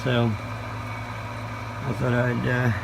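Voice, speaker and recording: Man, creaky voice, halting, folksy, casual presenter, noisy room with steady hum. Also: mid-range voice. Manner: hesitant, halting, folksy delivery. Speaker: YouTube hobbyist presenter. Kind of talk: casual show-and-tell.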